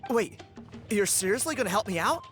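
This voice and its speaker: A man voicing a friendly, eager, talkative young adventurer in a video game, with a colloquial, animated delivery. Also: high voice, brisk, over loud music. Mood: amazed, grateful.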